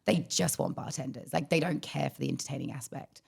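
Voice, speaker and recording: A young Australian woman co-hosting a casual podcast, with a croaky voice and lively storytelling. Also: a bass voice, a little fast. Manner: chatty storytelling, animated quoting. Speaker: podcast co-host. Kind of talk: casual chat.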